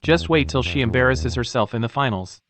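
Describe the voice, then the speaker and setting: An actor playing a dismissive, skeptical onlooker in a motorcycle racing drama. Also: male voice, medium pitch, brisk, noisy background, close to an everyday voice. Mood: scornful.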